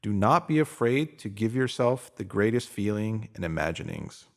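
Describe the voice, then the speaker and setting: A man's voice: fairly low pitch, sing-song, moderate pace. A man narrating a scripted self-help piece, reading it out as a motivational message.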